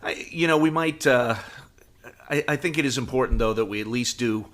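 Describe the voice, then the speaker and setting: Male podcast co-host, mid-pitched voice, casual and conversational with a wry, joking streak. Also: normal speed, fairly bright tone. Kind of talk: casual chat about superhero shows.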